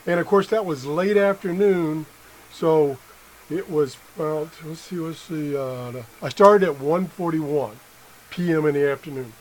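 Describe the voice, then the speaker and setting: A middle-aged man giving an unscripted hands-on demonstration as an amateur tester, halting and correcting himself as he thinks aloud. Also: fairly high pitch. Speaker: amateur product tester and video host. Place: indoor room at a workbench. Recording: noisy room with background sound.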